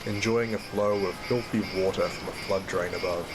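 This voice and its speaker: A man's voice: low-pitched, steady tone, moderate pace, close to an everyday voice. A man with an Australian accent playing a weary, troubled father who narrates his grim working life in an audio drama. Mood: weary, brooding. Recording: very noisy track, rain and storm ambience.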